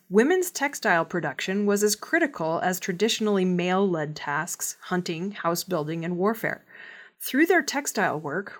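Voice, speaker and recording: A woman reading scripted news in a measured, formal delivery. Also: medium pitch. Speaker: news reader.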